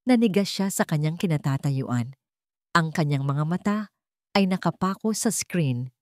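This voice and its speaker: Low-pitched Filipino woman narrating a dramatic story. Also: lively intonation, moderate pace, darkish tone, dry recording, studio quality.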